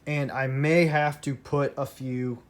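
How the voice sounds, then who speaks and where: A man's voice: medium pitch, little pitch movement, takes it slowly. A man talking casually and unscripted as a hobbyist presenting a collection, emphatic and repeating phrases for emphasis. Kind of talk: casual unscripted vlog talk. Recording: clear background noise, amateur room recording.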